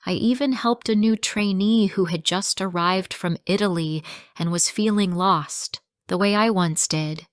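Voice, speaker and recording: A woman reading a simple first-person story for English learners, in slow, clearly spoken sentences. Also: mid-range voice, no room sound, clean studio sound.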